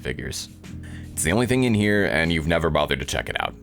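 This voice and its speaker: A man voicing a jaded, sardonic newcomer protagonist in a visual novel, deadpan and unimpressed. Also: very deep voice, fast, creaky, soft background music, exaggerated voice.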